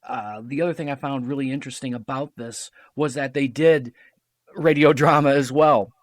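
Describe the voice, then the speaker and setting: Guest, male, knowledgeable explainer, mid pitch, dry mic. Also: steady tone, normal speed, dry recording. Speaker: guest radio drama producer. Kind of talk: casual chat.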